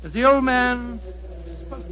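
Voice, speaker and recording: A man's voice: very high voice, takes it slowly, noisy background. An actor playing an earnest English doctor narrating his friend's adventure dramatically, with a British accent, in 1940s radio style. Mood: measured. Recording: dull 1940s radio recording.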